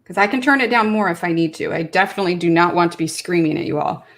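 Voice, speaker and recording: Loud, bubbly woman chatting on stream. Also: fairly low pitch, animated, fast talker. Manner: loud, bubbly, self-deprecating, laughs a lot. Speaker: guest co-host on a coloring stream. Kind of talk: casual live-stream chat. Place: home, streaming online.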